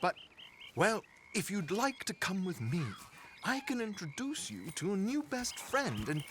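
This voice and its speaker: A man playing a pompous, flowery-spoken gentleman swindler in a video game, acted with sly theatrical flair. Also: high-pitched, very animated, a little slow, a little hiss or hum, full character voice. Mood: sly, persuasive. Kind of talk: video game dramatic acting.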